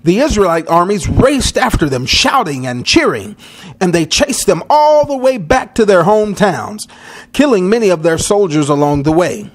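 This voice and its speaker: Male storyteller, high voice, very animated intonation, dramatic children's Bible story narration. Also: normal speed.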